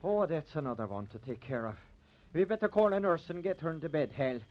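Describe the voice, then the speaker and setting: Man playing a high-pitched, folksy grumbling sheriff. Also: lively intonation, a little fast, lightly acted. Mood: somber. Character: excitable, grumbling small-town sheriff, folksy lawman. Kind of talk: 1950s radio drama acting. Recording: dull, noisy old radio recording.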